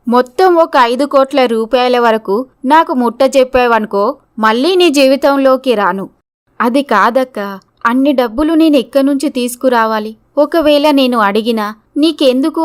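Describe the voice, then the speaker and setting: Casting: female performer for intense young women in a moral-story drama, high-pitched, clean smooth tone. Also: lightly acted. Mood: anxious, pleading. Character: scheming, greedy, threatening woman blackmailer and her frightened young victim.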